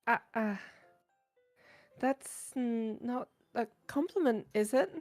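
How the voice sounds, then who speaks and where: A woman voicing a shy, bookish, insecure teenage girl in a visual novel, hesitant, soft-spoken and earnest. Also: fairly high pitch, takes it slowly, faint music behind. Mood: flustered. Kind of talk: visual novel character voice acting.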